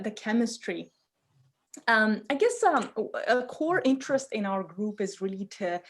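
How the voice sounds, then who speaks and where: Woman giving a chatty webinar lecture. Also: medium pitch. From Romania. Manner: informal, many fillers and restarts. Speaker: chemistry professor giving a webinar talk. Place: home basement.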